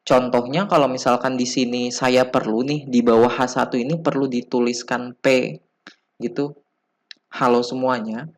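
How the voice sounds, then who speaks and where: A man with an Indonesian accent giving an informal coding tutorial as an instructor, explaining haltingly, step by step. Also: mid-range voice, steady tone. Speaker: programming instructor.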